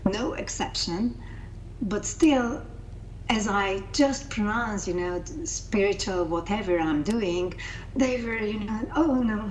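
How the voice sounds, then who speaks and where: A woman's voice: mid-range voice, a little hiss or hum, poor audio. A middle-aged woman from Hungary with a Hungarian accent, giving thoughtful interview answers and often repeating words as she searches for phrasing. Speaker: interview guest, coaching founder.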